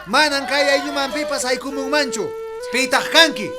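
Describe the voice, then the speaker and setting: Stern andean villager, really high voice, radio soap with music blasting. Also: male voice, normal speed. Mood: stern, challenging. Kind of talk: radio drama acting. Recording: loud music under the voice.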